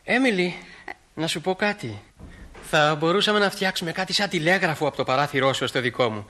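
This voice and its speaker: A man's voice: on the high side, sharp tone. A man playing an awkward, enthusiastic sixteen-year-old boy in a Greek radio play, hesitant and eager. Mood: shy, admiring.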